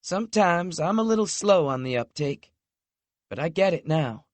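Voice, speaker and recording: A man's voice: animated, moderate pace, dry recording, lightly acted. A man voicing a tearful, wobbly young woman. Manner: wobbly, raised pitch, soft. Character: vulnerable emotional woman, Lexi, worried and tender.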